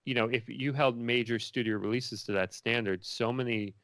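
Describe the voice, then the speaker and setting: A man's voice: mid-range voice, fairly even intonation, moderate pace, fairly bright tone, no room sound. A man answering interview questions as a filmmaker in a rambling, informal way full of filler phrases. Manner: rambling and informal, many likes and you knows.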